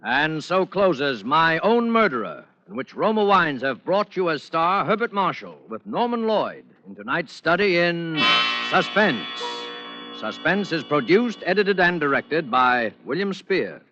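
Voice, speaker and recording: A man's voice: fairly high pitch, very animated, unhurried. A man announcing a program in dramatic 1940s radio style. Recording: dull old radio, loud music behind. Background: loud music.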